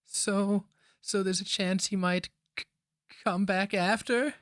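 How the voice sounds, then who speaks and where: A man's voice: high-pitched, steady tone, takes it slowly, fairly bright tone, dry recording, studio quality, pitched above the performer's own voice. A man voicing a naive, horrified young girl with a hesitant, wincing delivery in a fantasy story. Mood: fearful. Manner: gasping questions, wincing, hesitant.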